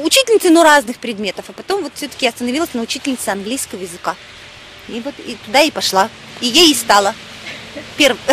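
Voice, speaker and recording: A woman's voice: high-pitched, slight background noise, low-quality recording. A woman from Russia, an actress, reminiscing expressively about her childhood home in a documentary interview, speaking outdoors in a residential neighborhood. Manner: nostalgic and expressive, with emphatic exclamations. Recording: background music under the voice.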